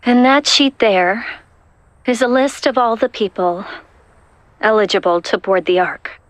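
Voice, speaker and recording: A woman's voice: a little high, a little slow, no echo, lightly acted. A woman playing a determined young soldier turned rebel in a game, serious and firm as she explains things. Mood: grave briefing. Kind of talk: dramatic game cutscene acting.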